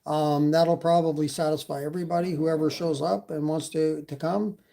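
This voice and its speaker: A man's voice: a little high, fairly even intonation, normal speed, creaky, dark tone. Rambling Canadian man on a live stream. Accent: slight Canadian. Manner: rambling, many fillers, reads chat. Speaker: e-bike channel host. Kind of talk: casual live stream chat.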